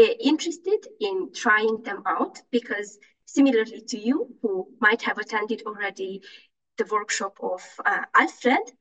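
A woman's voice: medium pitch, fairly bright tone, dull audio. Woman giving a talk on a video call, lots of ums, voice a bit far from the mic, non-native german speaker. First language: not German. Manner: hesitant, many fillers and restarts. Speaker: conference presenter. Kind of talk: conference talk over slides.

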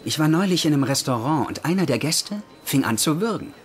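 An actor playing a confident, easygoing young doctor with a breezy manner in a German-dubbed TV comedy. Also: male voice, fairly high pitch, expressive, brisk, a little hiss or hum, close to an everyday voice. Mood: cheerful. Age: young adult. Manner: breezy, encouraging.

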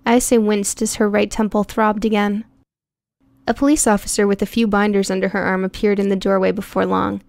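A woman reading a prose story aloud in an even, clear storytelling voice, recorded close on a studio mic. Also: medium pitch, fairly quick, no echo. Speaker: audiobook narrator. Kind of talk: scripted narration.

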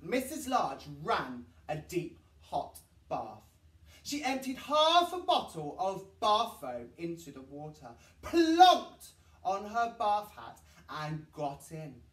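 An animated man reads a children's story at an unhurried pace. His delivery is sing-song and exaggerated, with big swings in pitch. The room echoes around him. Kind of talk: expressive storybook reading for children. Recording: roomy echo, distant microphone.

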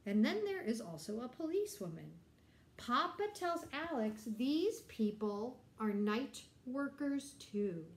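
A middle-aged woman reads a children's story in a lively, swooping voice. She pauses to explain things and put simple questions to young listeners. The room adds a noticeable echo. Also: on the high side. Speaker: teacher reading a picture book aloud to children. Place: bright indoor room.

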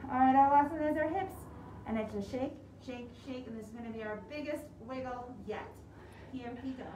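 A young woman leading a preschool storytime, giving animated, simple instructions to small children, her voice slightly muffled by a face mask. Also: high-pitched, brisk. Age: young adult. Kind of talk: preschool storytime talk, songs and read-aloud. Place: indoor library room with large windows. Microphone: camera a few metres away. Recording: roomy, distant mic, clear background noise.

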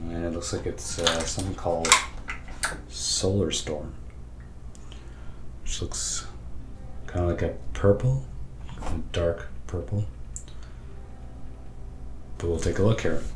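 Hoarse thirties man, very deep, excited rambling host, roomy room with faint hiss. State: lost voice, hoarse. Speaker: stationery vlogger. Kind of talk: casual show-and-tell. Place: home study with lamps and bookshelves.

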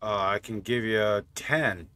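Bright-voiced man casually narrating a game. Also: on the low side, measured pace. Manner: offhand, thinking aloud. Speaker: gaming channel host. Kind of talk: casual game mod test commentary.

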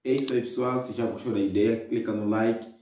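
A man's voice: fairly low pitch, steady tone, brisk, warm tone, a little hiss or hum. A young man from Mozambique with a Mozambican Portuguese accent chats cheerfully through a reaction video, laughing as he comments. His voice sounds distant and echoes strongly off the walls of an indoor room. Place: indoors against a plain wall. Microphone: wired earphone mic.

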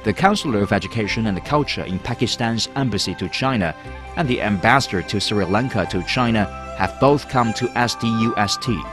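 A man narrates a university promotional film in a formal, measured way. His voice sits a little low. Music plays loudly beneath the voice-over. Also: expressive. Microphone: studio voice-over.

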